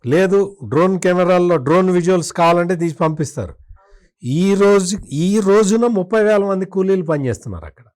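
A man's voice: sits high, expressive, a little fast, darkish tone. A man speaking as a political commentator in an interview, in a critical, opinionated way with emphatic repetition.

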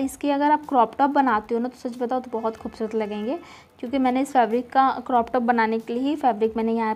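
A woman's voice: a little high, darkish tone. A woman narrating a shopping vlog casually, gushing with repeated praise. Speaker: shopping vlogger.

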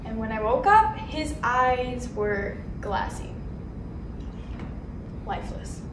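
A woman acting a dramatic monologue as a grief-stricken young nurse who blames herself, swinging between flat withdrawal and outbursts. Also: a little high, a little hiss or hum, natural voice. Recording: echoey room from a distance.

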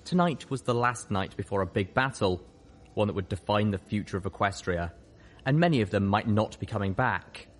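A man's voice: fairly low pitch, normal speed. A man reading a scripted first-person story aloud as an audiobook narrator. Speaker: audiobook reader.

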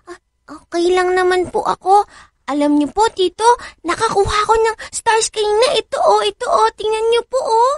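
Squeaky, excited Filipino little girl. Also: moderate pace, dry recording, clean studio sound. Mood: eager. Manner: squealing, childlike. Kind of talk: Filipino radio drama acting.

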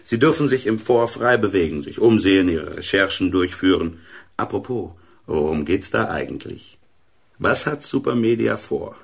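Casting: affable, busy military officer, husky low voice, radio drama. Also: male voice, lively intonation, moderate pace, heavily acted.

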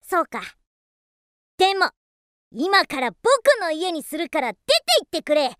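A woman voicing a naive, mischievous wooden puppet boy in a radio drama, with a bright childlike voice. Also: high-pitched, lively intonation, a little slow, no echo, studio quality. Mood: cheeky.

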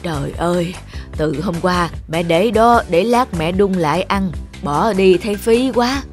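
A woman's voice: on the low side, animated, unhurried, faint music behind. A woman playing a doting, frugal elderly village grandmother in a cartoon, homely and apologetic. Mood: regretful. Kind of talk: cartoon dialogue.

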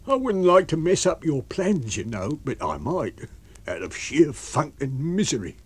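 A man's voice: fairly high pitch, big pitch swings, a little slow, slightly rough, pitched above the performer's own voice, exaggerated voice. A man voicing a cowardly, miserable tramp in an audiobook, whining and pleading in rustic, uneducated speech. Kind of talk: audiobook character voice.

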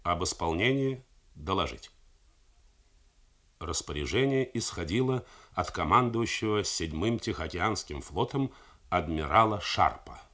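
A man, an actor, reading a novel aloud in measured, dramatic radio narration. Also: low-pitched, expressive, pushed and bright.

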